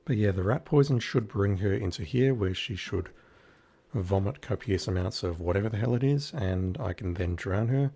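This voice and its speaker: Scratchy, low-voiced male game streamer. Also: creaky, a little mellow, breathy edge. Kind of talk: casual live playthrough commentary.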